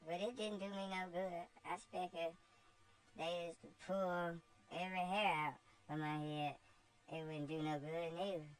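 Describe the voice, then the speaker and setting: A woman puts on a mischievous young girl, blunt and defiant, speaking in broad dialect. The voice sits well above the reader's own low range and stays fairly loud. Her lines come flat and matter-of-fact. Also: normal speed, lightly acted, rough recording.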